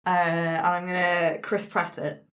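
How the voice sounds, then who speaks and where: A woman's voice: fairly low pitch, monotone, a little slow, a bit bright. A woman taking part as a player in a tabletop game, with casual, improvised role-play banter.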